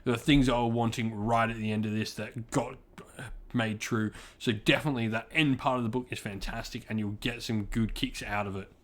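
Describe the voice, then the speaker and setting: A man's voice: medium pitch, fairly even intonation, fairly quick. Man giving an enthusiastic, informal review. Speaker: book reviewer, channel host.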